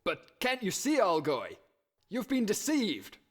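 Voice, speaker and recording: A man's voice: sits high, wildly swooping pitch, unhurried, exaggerated voice. A man playing a persuasive, articulate hero in a comic puppet musical, earnest and melodramatic in his delivery. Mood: earnest persuading.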